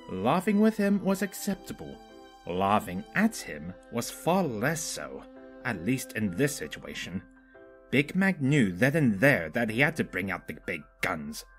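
A man reading a story aloud as a scripted narrator. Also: on the low side. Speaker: fanfiction narrator.

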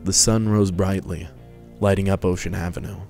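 Low, even-toned male narrator. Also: normal speed, gravelly, soft background music. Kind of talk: scripted story narration, dramatic reading.

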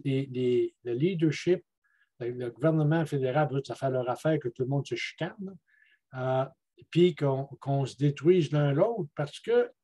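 Older Quebec man, mellow voice. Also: a little high. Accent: Quebec French. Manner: rambling, repeats words while searching for them. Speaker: guest speaker, traditional elder. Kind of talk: casual talk at a videoconference. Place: room at home with bookshelves. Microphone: earbuds on a video call.

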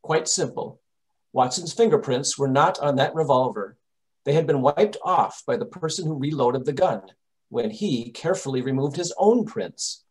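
A man playing a shrewd, composed English gentleman detective in old-time radio mystery style, formal and precise. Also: mid-range voice, lively intonation, normal speed, close to an everyday voice, rough recording. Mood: assured, explaining.

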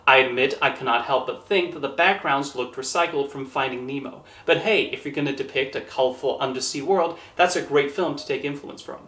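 Man, thirties, scripted review, measured and earnest, mid pitch, echoey small room. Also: a bit bright. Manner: measured, earnest, carefully worded delivery. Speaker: movie reviewer. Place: small indoor room with posters and shelves. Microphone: camera at a few feet, standing.

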